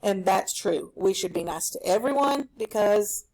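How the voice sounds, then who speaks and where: A woman teaching a recorded reading lesson for children at home, explaining a story in an animated, explanatory way. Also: medium pitch, unhurried, rough recording.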